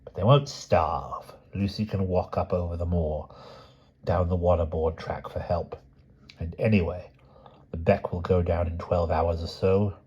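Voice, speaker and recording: Flustered bull-necked crook, read by a man: low, dark, muttering. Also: steady tone, unhurried, husky, highs cut off. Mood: fretting.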